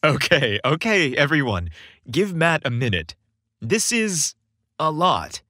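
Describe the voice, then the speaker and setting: A man's voice: on the high side, big pitch swings, takes it slowly, sharp tone, no echo, clean studio sound, full character voice. A man voicing Santa's playful, teasing young son in a casual storybook dialogue style. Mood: protective.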